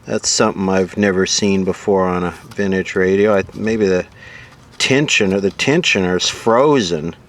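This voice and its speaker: A man's voice: low voice, normal speed, a little hiss or hum. A man giving casual off-the-cuff commentary as he looks over old equipment, musing aloud and asking himself questions with a sardonic streak. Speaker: host of a vintage radio repair video.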